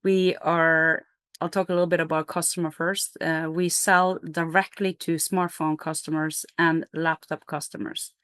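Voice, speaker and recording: A woman's voice: sits low, level tone, measured pace, a bit bright, clean tone, dry recording, clean studio sound. A middle-aged woman from Norway, a company CEO, explaining her business in an interview with energy, fillers and restarts.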